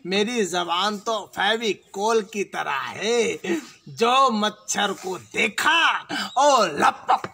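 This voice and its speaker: A man doing a bragging gravelly frog king. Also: high voice, lively intonation, exaggerated voice. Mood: boastful. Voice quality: gravelly, laughing often. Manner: loud, playful, drawn-out bragging. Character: boastful male frog king, jolly and cheeky. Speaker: folk performer. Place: outdoors among trees.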